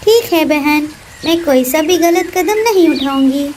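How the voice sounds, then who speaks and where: Woman as cartoon mother bird, very high pitch, impassioned, grieving, vengeful, faint background noise. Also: expressive, a little slow, darkish tone. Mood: resigned.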